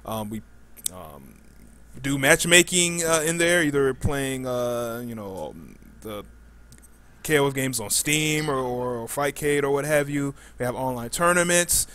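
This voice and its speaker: A man's voice: medium pitch, lively intonation, a little slow, pushed and bright. A man hosting a live podcast in a casual, informal way with plenty of slang. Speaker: podcast host.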